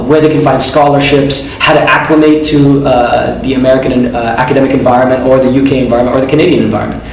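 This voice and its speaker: A man speaks earnestly and reflectively in an interview, pausing often on "uh" and "um." His voice sits at an ordinary middle pitch. It reaches the mic from a distance in a strongly reverberant room, with faint ambience behind. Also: a little hiss or hum. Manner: earnest and reflective, many "uh" and "um" pauses. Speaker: admissions consultant and company founder. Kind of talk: interview answers to an off-camera question.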